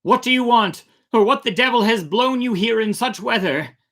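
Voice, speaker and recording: A reader voicing a blunt, wary male sailor who talks loudly and plainly. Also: medium pitch, moderate pace, lightly acted. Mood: alarmed.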